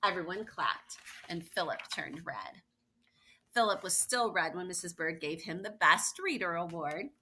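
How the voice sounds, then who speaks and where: A woman's voice: fairly low pitch, animated, measured pace, pushed and bright. A woman reading a children's picture book aloud expressively, stressing words for young listeners. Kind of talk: expressive read-aloud storytelling with asides.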